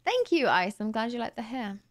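A young woman streaming from her room late at night, tired and a little raspy, chatting casually and thanking viewers on a close studio mic. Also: a little high, big pitch swings, fairly quick. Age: young adult. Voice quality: slightly raspy today. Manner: chatty, bubbly, laughs easily. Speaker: live streamer. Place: home streaming room, indoors. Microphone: boom studio mic close to mouth.

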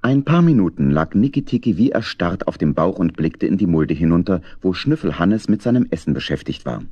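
Deep, mellow male storyteller. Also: sing-song, fairly quick, breathy edge, no echo, soft background music. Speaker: narrator. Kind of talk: expressive scripted storytelling for children. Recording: dry, slightly dull studio recording.

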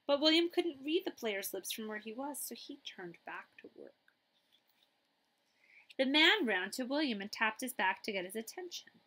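A middle-aged woman reading a children's picture book aloud, with dramatic emphasis and pauses. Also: fairly high pitch, sing-song, pushed and bright.

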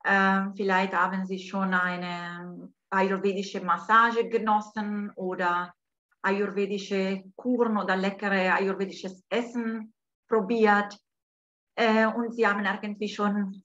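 A woman from Italy with an Italian accent, hosting an online talk by video call from home, speaking slowly and hesitantly with many fillers. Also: medium pitch, fairly bright tone. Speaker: host. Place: home room, indoors. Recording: dull lo-fi video call audio.

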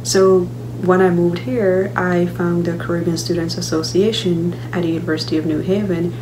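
A young woman from Guyana talks in a friendly, steady way that sounds a little recited, speaking into a laptop webcam in her bedroom. Her voice is lowish for a woman, and a clear electrical hum runs underneath it. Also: normal speed. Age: young adult. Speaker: student speaker. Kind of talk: webcam testimonial. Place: bedroom at home.

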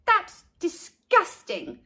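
A woman voicing a snooty, squeamish schoolgirl in a storybook, in a drawn-out, disdainful manner. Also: extremely high pitch, huge pitch swings, pushed and bright, voice pushed up, full character voice. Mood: disgusted.

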